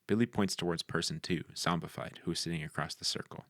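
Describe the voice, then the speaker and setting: A man's voice: deep voice, fast, fairly bright tone, dry recording, studio quality. A man reading scene headings and stage directions as narrator at a script table read.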